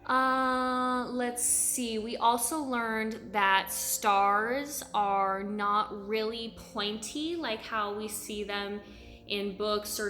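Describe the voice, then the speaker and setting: Echoey, high-voiced woman teaching preschoolers. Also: bright, forceful tone. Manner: encouraging, asks children questions, simple repeated phrasing. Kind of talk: read-aloud lesson for young children.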